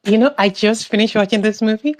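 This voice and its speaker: A woman's voice: medium pitch, a little fast, dry recording. A woman giving her opinion on a film podcast, chatty and meandering, with lots of "like" and restarts. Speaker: podcast panelist. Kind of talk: casual opinion chat.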